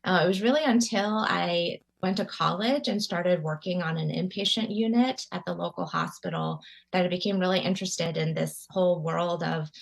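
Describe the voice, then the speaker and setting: Lowish woman lecturing over a video call. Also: moderate pace, vocal fry, rough recording. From Taiwan. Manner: warm conversational, many ums and restarts. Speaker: guest lecturer. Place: home room with bookshelves. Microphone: video call webcam.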